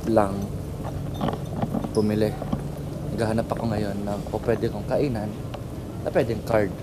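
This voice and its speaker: A man's voice: fairly low pitch, flat delivery, measured pace. A Filipino man chatting casually and informally as a travel vlogger, switching between two languages. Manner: informal, mixes Tagalog and English. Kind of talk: casual vlog chat. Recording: very noisy handheld travel recording, ambient din.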